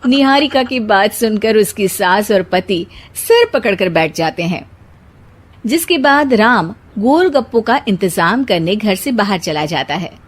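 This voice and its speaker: A woman narrates a children's cartoon story. Her voice sits at a medium pitch, and she reads the scripted tale as a storyteller. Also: expressive, unhurried.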